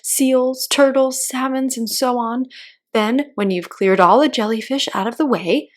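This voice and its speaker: A woman voicing a sentimental, dramatic male mock turtle from a storybook, with expressive, drawn-out delivery. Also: a little high, pitched above the performer's own voice, close to an everyday voice. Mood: excited.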